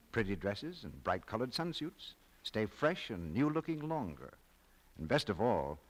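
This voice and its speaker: An American man delivers a 1940s radio commercial. His voice is fairly low and his intonation is very lively. He sounds like a scripted announcer of that era. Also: low-quality recording. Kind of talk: 1940s radio advertising read.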